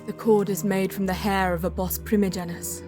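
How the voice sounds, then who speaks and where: A woman playing a solemn elf mystic, narrating her quest in a measured, literary audio drama delivery. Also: medium pitch, little pitch movement, natural voice. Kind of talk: scripted first-person audio drama narration. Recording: music behind the voice.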